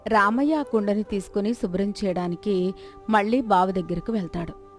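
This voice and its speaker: A woman's voice: mid-range voice, normal speed, faint music behind. A woman narrating a scripted moral folk story as a storyteller.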